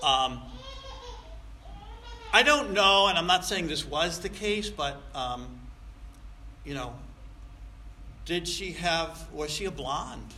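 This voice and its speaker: A man's voice: on the high side, lively intonation, slow pace, long pauses, sharp tone. A man in his sixties preaching a sermon in a folksy, conversational way, asking rhetorical questions and repeating phrases for emphasis. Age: 60s. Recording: echoey room, faint background noise.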